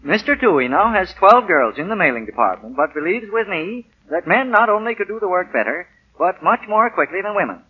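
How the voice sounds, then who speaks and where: An actor playing a proud, flustered young husband and new executive, hesitant and self-important, in 1940s radio style. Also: male voice, high voice, sing-song, fairly quick, few pauses, breathy edge, exaggerated voice. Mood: pompous. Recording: dull 1940 radio broadcast recording.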